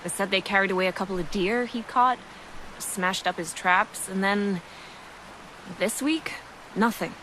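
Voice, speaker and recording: A woman's voice: medium pitch, measured pace, pauses often, bright, forceful tone, low-quality recording. A woman as a worried game settler. Her mid-range voice is guarded and plain-spoken, over a faint bed of background noise. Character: worried woman settler telling of a missing friend. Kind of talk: video game cutscene acting.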